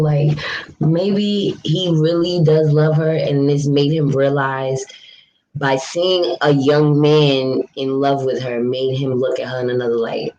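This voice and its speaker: A young woman from New York with a deep voice, chatting casually with viewers on a livestream in a frank, talkative way. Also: mellow, highs cut off, a little hiss or hum. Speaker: livestream vlogger. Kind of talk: casual live chat, answering viewer comments.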